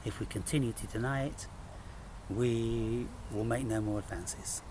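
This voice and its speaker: Male spiritual vlogger, unhurried and deliberate with emphatic pauses, recorded with noticeable ambient noise. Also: medium pitch. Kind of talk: unscripted spiritual monologue to camera.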